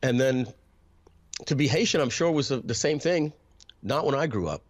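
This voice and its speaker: A man, telling a personal story as an interview guest, hesitant, with frequent throat clearing and filler words. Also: mid-range voice, animated, moderate pace. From New York. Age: 50s.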